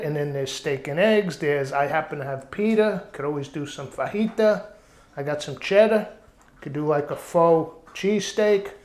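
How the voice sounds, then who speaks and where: A man rambles through a home cooking vlog as its host, telling loose stories. His voice sits slightly high. The sound is roomy and echoey. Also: darkish tone. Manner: rambling, chatty storytelling. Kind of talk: casual vlog chat. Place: outdoor backyard kitchen.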